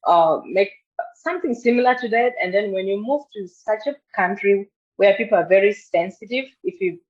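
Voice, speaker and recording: A woman from tells a personal story on a video call. Her voice sits in a middle pitch, and the call audio sounds dull and muffled. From South Sudan. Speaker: program participant. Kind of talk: telling a personal story in a discussion.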